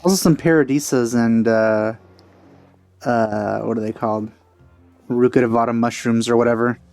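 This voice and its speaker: A man's voice: mid-range voice, steady tone, moderate pace, a little mellow. Wry man doing casual gameplay commentary. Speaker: let's play host. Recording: game music behind voice. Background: game music.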